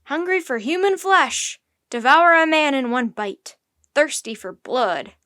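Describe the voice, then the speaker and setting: A rough, fierce sailor from a storybook, scared and out for blood, played by a woman narrator. The yelling is menacing and pitched high, recorded dry. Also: expressive, a little slow, dry recording, exaggerated voice.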